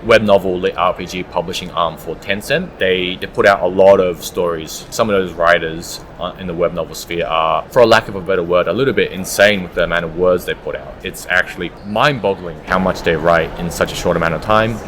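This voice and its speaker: A man's voice: deep voice, steady tone, fairly quick, few pauses, a bit bright, slight background noise. A man giving conversational, explanatory answers as an audiobook producer being interviewed.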